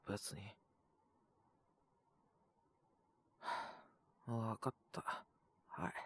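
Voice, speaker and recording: Man acting as a gruff, sullen young wolf beastman. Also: mid-range voice, no room sound, natural voice. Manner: blunt rough speech, curt, grumbling. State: sick with a cough, acted. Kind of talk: Japanese audio roleplay voice acting.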